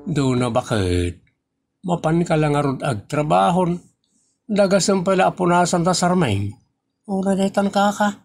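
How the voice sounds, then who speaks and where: Exasperated husband in a homemade comedy skit, played by a man a touch high, faint music under. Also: animated, unhurried, gravelly, darkish tone, full character voice. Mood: teasing. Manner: scolding, exasperated. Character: forgetful middle-aged husband, fussy.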